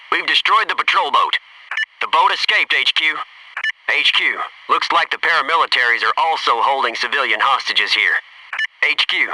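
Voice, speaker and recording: An actor playing a focused, businesslike Navy SEAL in a military video game, giving terse, clipped tactical callouts and matter-of-fact reports. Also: male voice, a little high, far from the mic, background noise, lightly acted.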